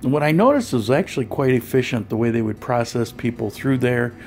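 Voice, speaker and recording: A man narrating his vlog as a voice-over, relaxed and conversational, with frequent fillers and restarts. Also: medium pitch, fairly quick, gravelly, soft background music. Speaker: vlogger. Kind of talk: voice-over narration of a clinic visit.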